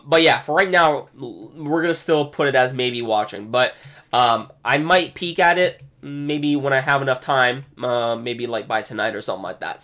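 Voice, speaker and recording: A man chatting loosely on a live stream, full of ums and restarts, with a lively voice that rises and falls a lot. His voice sits a little high for a man and is turning hoarse as he keeps talking. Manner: chatty, many fillers and restarts, reads text aloud. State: losing his voice. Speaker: anime streamer. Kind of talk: casual live stream commentary.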